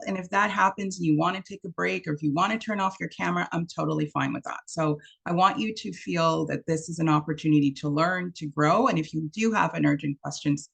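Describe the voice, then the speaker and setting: A woman in her fifties, originally from Trinidad, leads an online workshop. Her voice sits a little low with a gravelly edge. She tells stories and acts out what people said, heard through a video call. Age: 50s. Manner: warm storytelling, acts out quotes. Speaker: workshop speaker.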